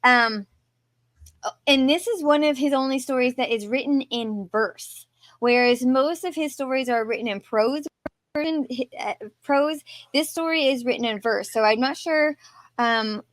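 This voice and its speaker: A woman's voice: high voice, unhurried, dry recording. A woman co-hosting a podcast in casual discussion, with a giggly, somewhat hesitant way of talking. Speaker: podcast co-host.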